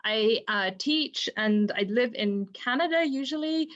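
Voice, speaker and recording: Woman academic on a lo-fi video call, higher voice, halting delivery. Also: expressive, a bit bright. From Zimbabwe. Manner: hesitant, many ums and restarts. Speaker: associate professor, webinar speaker. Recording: dull lo-fi video call audio.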